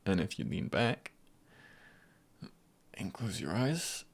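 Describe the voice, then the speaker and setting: Very low, husky, gravelly male friend played by a man. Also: big pitch swings, exaggerated voice. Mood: calm, soothing. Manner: gentle, hesitant pauses, lightly teasing. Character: easygoing, comforting male friend, gently playful and reassuring. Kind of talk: ASMR roleplay, intimate and unhurried.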